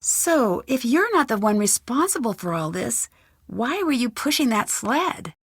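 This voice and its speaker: A woman's voice: on the high side, very animated, unhurried, breathy edge, dry recording, studio quality, full character voice. An actress playing a friendly, inquisitive young woman detective in a mystery video game, politely asking questions. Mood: probing. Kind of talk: scripted video game dialogue.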